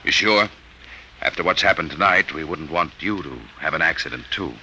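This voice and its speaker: An actor playing a grave, protective American army major in an old-time radio drama. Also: male voice, a bass voice, moderate pace, pushed and bright, heavily acted, rough recording. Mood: grave, concerned. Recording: vintage radio recording with hiss.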